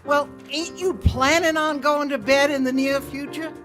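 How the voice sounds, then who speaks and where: A man's voice: extremely high pitch, steady tone, moderate pace. Guy doing a loyal worried piano man, old radio drama, folksy, music playing. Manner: folksy, coaxing, hesitant. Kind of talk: 1940s radio drama acting. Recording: live stage radio play, prominent music.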